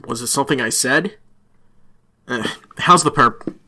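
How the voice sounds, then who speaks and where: An actress playing a taunting, mock-friendly small-town police chief in a radio drama. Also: female voice, extremely low pitch, measured pace, heavy background noise.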